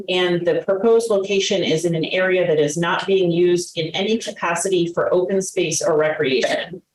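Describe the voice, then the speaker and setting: A woman's voice: on the low side, darkish tone. A woman chairing a town committee meeting, speaking with many fillers and hesitations. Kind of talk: running a public meeting. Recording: roomy echoey meeting room.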